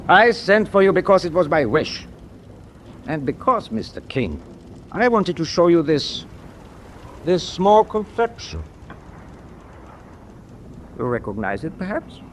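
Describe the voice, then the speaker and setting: A stern, animated German sea captain. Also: male voice, on the high side, a little hiss or hum. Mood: cold, menacing. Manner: clipped, deliberate, imperious, formal. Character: stern, proud middle-aged German captain of a wartime tanker. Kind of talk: radio drama acting.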